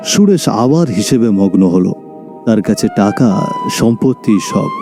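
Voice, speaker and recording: A man delivers dramatic scripted narration for a story. His medium-pitched voice moves widely up and down. Music is clearly heard behind him. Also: big pitch swings, moderate pace.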